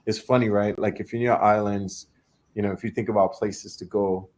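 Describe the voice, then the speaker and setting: A man's voice: on the low side, steady tone, normal speed, a little mellow. A young adult man from Trinidad and Tobago, a startup founder talking casually as an interview guest, often repeating and restarting his words.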